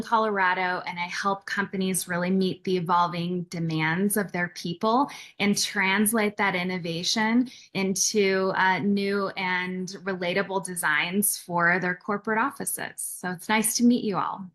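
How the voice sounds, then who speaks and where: A woman's voice: mid-range voice, fairly even intonation, moderate pace, hardly any pauses, a bit bright. Businesslike woman introducing herself. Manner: fluent, businesslike. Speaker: guest executive. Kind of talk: panel self-introduction.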